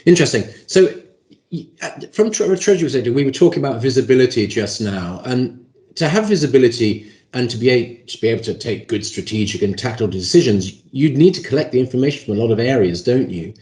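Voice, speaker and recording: A man's voice: medium pitch, animated, fast talker, mellow. A man hosting a business interview, putting conversational questions to a guest, with a roomy echo on his voice. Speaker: interviewer, host. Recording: roomy, echoing voice pickup.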